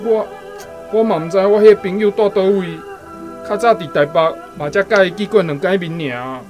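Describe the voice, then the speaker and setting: Man acting a high-pitched village uncle. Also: moderate pace, darkish tone, soft background music, close to an everyday voice. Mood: flustered. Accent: Taiwanese. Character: excitable village uncle trading in birds. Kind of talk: radio drama acting.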